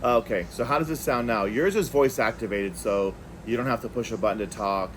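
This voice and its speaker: A man's voice: fairly low pitch, fairly quick, a bit bright, a little hiss or hum. A man hosting a casual, unscripted product review, talking conversationally and thinking aloud as he goes. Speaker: product reviewer, video host.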